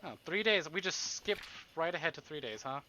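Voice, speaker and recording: A man's voice: fairly high pitch, fairly quick, sharp tone, a little hiss or hum, low-quality recording. A man live streaming, reading a story aloud and adding playful, exclamatory asides to his chat. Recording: music behind the voice.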